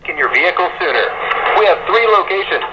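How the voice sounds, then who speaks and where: A man's voice: high-pitched, sing-song, fast talker. A man speaking on a radio broadcast, heard through a small radio speaker. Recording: very noisy pocket shortwave radio reception.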